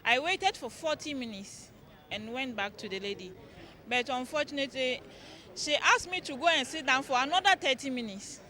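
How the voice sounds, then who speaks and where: An exasperated passenger tells their story in an interview. The voice is high and sharp, and the phrasing is repetitive. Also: moderate pace. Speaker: airline passenger. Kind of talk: aggrieved interview account.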